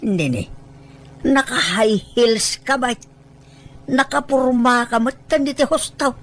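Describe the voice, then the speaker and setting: A woman's voice: a little high, animated, unhurried, a bit bright. A woman acting in an Ilocano audio drama as an impatient relative who prods, questions and hurries people.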